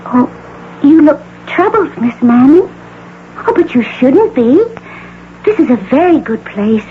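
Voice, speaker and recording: A fragile young woman in a radio melodrama. Her voice is extremely high and swooping, with drawn-out delivery, on a muffled tape. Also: very animated. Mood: gentle, reassuring. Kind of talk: old-time radio drama acting. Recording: muffled, lightly noisy radio broadcast tape.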